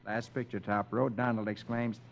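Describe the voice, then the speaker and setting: A man's voice: on the low side, flat delivery, moderate pace, vocal fry. A man narrating comic strips as a dramatic storyteller on a 1950s children's radio show. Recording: muffled old radio recording, music behind.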